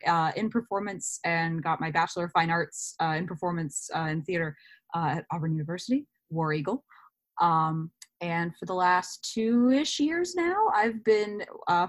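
A woman in her twenties with a slightly low voice speaks as a guest in a casual interview. She is chatty and reflective, working through her thoughts out loud as she goes. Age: 20s. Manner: chatty and self-reflective, thinking aloud.

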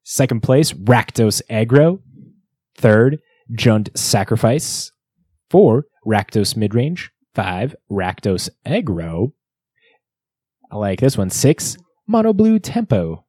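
A man's voice: fairly low pitch, sing-song, takes it slowly, mellow, breathy edge, no room sound. A man co-hosting a podcast, bantering jokily and running through a recap of events in a casual way. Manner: jokey, bantering. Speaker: podcast co-host.

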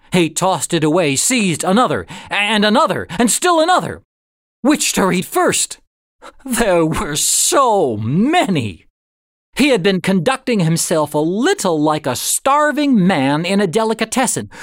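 A man narrating a story as an audiobook reader, in an expressive, deliberate manner with dramatic pauses. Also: sits high, no room sound, studio quality.

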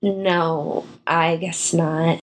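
A woman's voice: sits low, level tone, drawn-out words. A woman acting in a modern audio drama as a proud, guarded, wistful young writer, with a dry, hesitant, naturalistic delivery. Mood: reluctant, apologetic.

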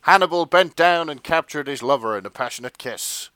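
Male narrator, bright and forceful, mid-pitched, for silly fan fiction and loose banter, dry recording. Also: lively intonation, brisk, clean tone, no echo. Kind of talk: comic fan fiction narration and casual chat.

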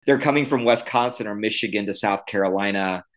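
Low-voiced man, loose rambling talk. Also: a little fast, fairly bright tone. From Midwest, Illinois. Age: millennial. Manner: conversational, many fillers, uneven pace. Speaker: podcast guest. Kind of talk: podcast interview.

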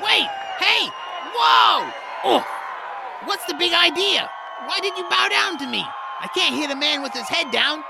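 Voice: very high-pitched, expressive, a little slow, vocal fry, background noise, full character voice. An actor playing a panicky, reluctant little male elf fighter in an audio drama, stammering and alarmed. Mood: flustered. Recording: loud music and crowd behind.

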